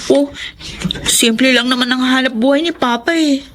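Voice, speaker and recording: A woman's voice: sits high, normal speed, fairly bright tone, a little hiss or hum. An actress playing a sweet, playful eighteen-year-old Filipino daughter devoted to her papa, in a radio drama. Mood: earnest, tender.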